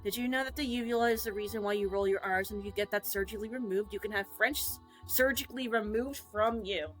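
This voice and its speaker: A woman's voice: mid-range voice, fast talker, fairly bright tone, faint music behind. A woman streamer in casual rambling chat, jokey and self-mocking.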